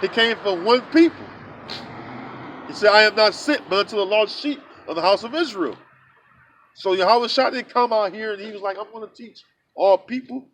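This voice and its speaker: A man's voice: high-pitched, normal speed, fairly bright tone, slight background noise, rough recording. A man teaching outdoors, reading verses aloud and then explaining them in blunt, casual street talk with many filler sounds. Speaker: street preacher. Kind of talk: scripture teaching.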